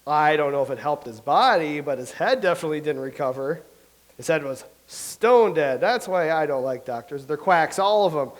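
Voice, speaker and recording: A man's voice: on the high side, lively intonation, normal speed, natural voice, low-quality recording. An actor playing a vain, anxious male playwright who rambles nervously in a staged comedy reading. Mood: rambling. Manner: rambling, nervous, self-pitying. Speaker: playwright character.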